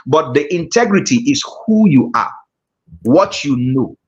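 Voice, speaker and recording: A man with a Nigerian accent leads a speaking class in a measured way, circling back to repeat his key phrases. His voice sits a touch high. The audio is muffled and lo-fi. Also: expressive. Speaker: guest speaking coach. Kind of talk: teaching a public-speaking class.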